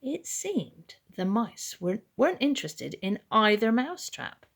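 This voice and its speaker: A woman reads a children's bedtime story aloud in a dramatic, expressive and deliberate manner. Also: on the high side, creaky. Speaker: storybook reader.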